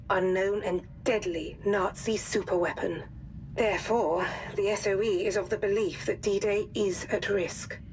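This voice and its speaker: A woman's voice: medium pitch, slight background noise, natural voice, low-quality recording. A woman playing a composed wartime intelligence officer, delivering formal, authoritative mission briefings in a video game. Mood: grave, formal. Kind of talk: scripted mission briefing narration.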